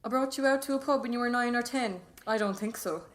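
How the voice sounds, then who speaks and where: A woman's voice: on the high side, quick pace, natural voice. A woman playing a chatty Irish mother in an audio drama, speaking in a conversational, matter-of-fact way. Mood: doubtful. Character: chatty Irish mother reminiscing with her son. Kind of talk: naturalistic audio drama dialogue.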